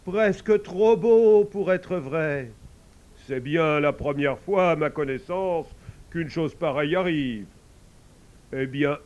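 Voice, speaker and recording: A man voicing a stern old pope, loud and grand in manner. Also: high-pitched, dull audio, voice pushed up.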